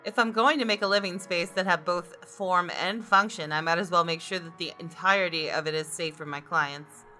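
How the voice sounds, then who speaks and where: Wry professional woman, narrating a game story, forceful bright tone, lowish pitch, music underneath. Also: fairly even intonation, moderate pace. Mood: matter-of-fact. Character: wry, observant professional female architect and designer. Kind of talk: visual novel narration read in character.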